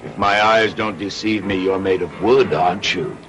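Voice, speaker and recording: Man as a low-voiced, menacing cartoon theater tyrant. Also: moderate pace, lightly acted, poor audio. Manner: domineering, threatening. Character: menacing, bullying male puppet theater owner. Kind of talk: dubbed cartoon villain acting.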